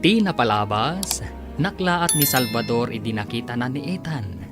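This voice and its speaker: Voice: mid-range voice, animated, normal speed. A radio drama announcer with an Ilocano accent, giving dramatic scripted narration. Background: music.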